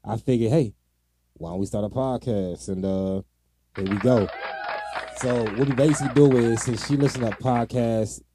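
A man's voice: medium pitch, steady tone, normal speed, background noise. A man co-hosting a podcast, chatting casually about true crime in informal, slangy language. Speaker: podcast co-host.

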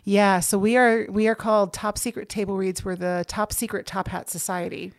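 A woman in her thirties talks in a casual interview, with noticeable vocal fry and a close, dry sound. She is enthusiastic and leans hard on phrases, often repeating them for emphasis. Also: mid-range voice, little pitch movement, moderate pace, dry recording. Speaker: guest, organizer of a play-reading group; actor. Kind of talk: casual podcast-style interview chat.

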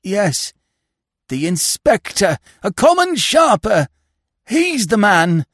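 Man acting a respectable husband, pitch raised high. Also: wildly swooping pitch, moderate pace, slightly rough, no room sound, studio quality, exaggerated voice. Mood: stricken, moaning. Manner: stammering, moaning when distressed. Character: respectable husband, assured at first, then bewildered and stricken. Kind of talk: audiobook character voice.

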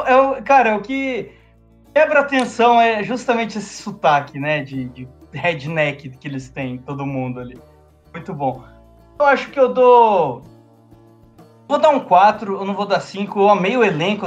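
Slangy high-voiced podcast guy. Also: normal speed, highs cut off, faint music behind. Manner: slangy, loose, laughs often. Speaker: podcast co-host. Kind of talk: casual film review chat.

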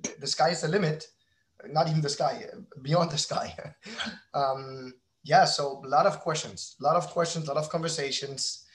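A man's voice: a little high, steady tone, normal speed. A man in his thirties talking casually as an interview guest, candid and rambling, often correcting himself mid-sentence. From outside the United States. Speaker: guest, company founder and father.

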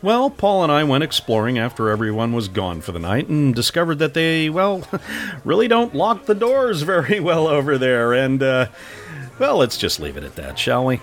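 Man playing a lively, cheeky voiceover guy. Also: on the high side, normal speed, faint music behind. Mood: teasing. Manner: coy, teasing. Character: cheeky, boastful male voiceover announcer. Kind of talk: comedy audio drama acting.

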